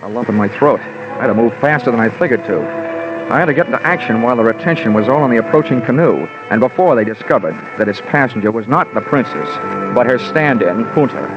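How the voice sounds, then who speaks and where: An actor playing a wry, hard-boiled American insurance investigator, narrating dryly and colloquially in 1940s radio detective style. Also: male voice, mid-range voice, brisk, close to an everyday voice. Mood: wry, brisk. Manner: dry, sardonic, colloquial. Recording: muffled old radio recording with music.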